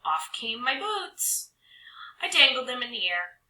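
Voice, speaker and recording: A woman reading a children's storybook aloud with expressive, dramatic narration, stretching some words and hushing others. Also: a little high, unhurried. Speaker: storybook reader. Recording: roomy echo.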